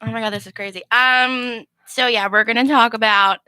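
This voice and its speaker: A woman's voice: on the high side, steady tone, normal speed, sharp tone, clean tone, no room sound. A young woman hosting a casual sports chat, teasing her guest in a playful way. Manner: teasing, playful, laughs often. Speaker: host.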